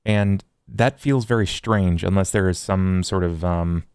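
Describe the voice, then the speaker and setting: A man with a very deep, gravelly voice in a dry, close recording. He is a tabletop player reasoning aloud in careful, halting phrases. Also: darkish tone, no echo. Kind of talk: casual game discussion.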